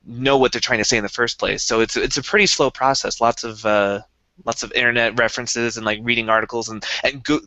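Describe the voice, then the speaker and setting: A man's voice: medium pitch, pushed and bright, dry recording, poor audio. A young man co-hosting a casual podcast, explaining things eagerly in a rambling way with many restarts. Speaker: podcast co-host. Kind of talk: casual chat.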